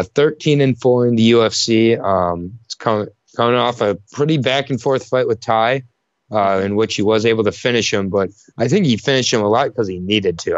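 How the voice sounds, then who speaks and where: Low-voiced man, muffled dry recording. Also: dry recording. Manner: rambling, many fillers and restarts. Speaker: co-host of a fight prediction show. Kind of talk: casual betting breakdown chat.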